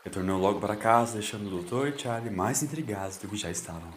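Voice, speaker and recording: A man's voice: fairly low pitch, quick pace, a little hiss or hum. A man with a Brazilian accent narrating a dramatic scripted story. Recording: roomy echo with music underneath.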